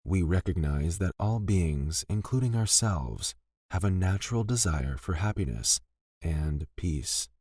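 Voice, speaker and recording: A man leading a scripted guided meditation in a gentle, soothing and deliberate manner, pausing between phrases. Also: sits low, lively intonation, breathy edge, no room sound, studio quality.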